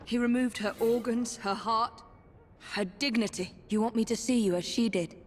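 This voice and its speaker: A woman's voice: fairly high pitch, moderate pace, close to an everyday voice. A woman playing a determined, vengeful young assassin in a video game, with an English accent and a grave, resolute delivery. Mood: grim resolve. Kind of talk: video game cutscene acting.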